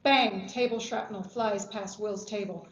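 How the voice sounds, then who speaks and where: A woman's voice: medium pitch, fairly even intonation, measured pace. Female stage-direction reader for a screenplay table read; roomy, slightly muffled recording. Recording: echoey, dull, faintly noisy room recording.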